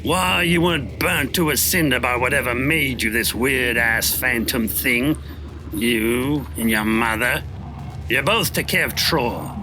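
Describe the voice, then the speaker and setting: An actor playing a cruel, taunting villain in a cinematic audio drama, gloating with a sneering, menacing delivery. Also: male voice, mid-range voice, a little slow, natural voice. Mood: mocking, explaining. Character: taunting, cruel male villain gloating over his captive. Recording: loud cinematic music behind. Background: dramatic music score.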